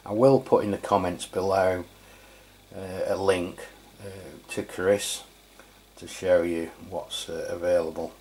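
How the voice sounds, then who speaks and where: A man's voice: sits low, fairly even intonation, unhurried, darkish tone. A man giving an informal, matter-of-fact product review as a channel host.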